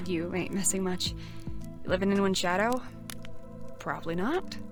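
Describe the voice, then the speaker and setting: A woman's voice: fairly low pitch, measured pace. A woman acting a blunt, sarcastic teenage knight. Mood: bitter, resentful. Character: brash, resentful eighteen-year-old female knight. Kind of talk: conversational fantasy audio drama acting. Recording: music behind the voice.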